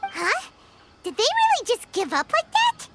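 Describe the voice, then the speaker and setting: A pint-sized floating game companion with a very high, squeaky voice that leaps all over the place. She is cheeky and excitable, drawing her words out slowly and blurting things before catching herself. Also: over loud music, full character voice. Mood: puzzled. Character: tiny floating female companion creature, cheeky and chatty. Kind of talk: video game voice acting.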